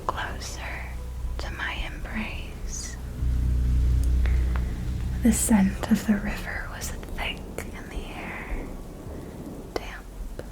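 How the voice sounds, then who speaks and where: A woman playing a seductive, remorseless immortal vampire mentor in an ASMR roleplay, speaking in a breathy whisper with deliberate pauses. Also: mid-range voice, flat delivery, poor audio. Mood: seductive, savoring. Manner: slow, hushed, deliberate storytelling with pauses.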